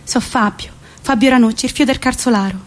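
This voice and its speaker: A woman's voice: fairly high pitch, a little fast, lightly acted. A woman playing an earnest young village man, a secretive lover with a central Italian accent and terse speech, in a theatrical monologue. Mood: furtive, urgent.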